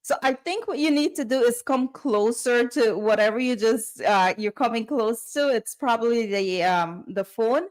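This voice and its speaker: A woman's voice: fairly high pitch, normal speed, few pauses, darkish tone. A woman hosting a conversational interview. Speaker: interview host.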